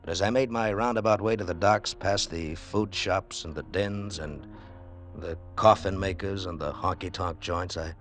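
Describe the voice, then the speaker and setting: Low, hard-boiled radio adventurer. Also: male voice, moderate pace, a bit bright, faint music behind. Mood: tense, reflective. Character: hard-boiled, wry male adventurer narrating his tale. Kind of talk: 1940s radio acting and first-person narration. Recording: noisy vintage radio recording.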